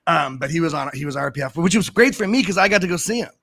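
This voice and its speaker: A man's voice: a little high, expressive, quick pace, vocal fry, fairly bright tone, dry recording. Guy rambling on a live stream, laughs at himself a lot, dry close sound. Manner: rambling, self-mocking, laughs often. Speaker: guest. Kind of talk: casual live-stream chat. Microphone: desk mic.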